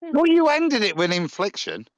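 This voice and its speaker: A man's voice: big pitch swings, normal speed, dry recording, highs cut off. A man with a Nottingham accent chatting casually on a live panel, telling rambling stories. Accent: Nottingham English. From England. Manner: chatty storyteller with broad pitch swings and impressions. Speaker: panel guest. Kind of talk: casual chat on a live stream.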